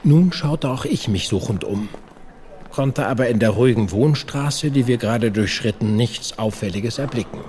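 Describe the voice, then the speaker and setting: A man playing an earnest period investigator who narrates his own case in a measured, literary audio drama style. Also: medium pitch, darkish tone, a little hiss or hum, lightly acted. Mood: suspenseful narration.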